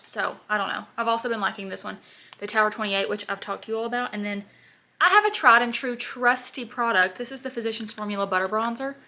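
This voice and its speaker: Bright-voiced chatty young woman. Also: a little high, fast, slight background noise. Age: 20s. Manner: chatty, sassy, animated asides. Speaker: beauty vlogger. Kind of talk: casual get-ready-with-me chat. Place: home, at her parents' house.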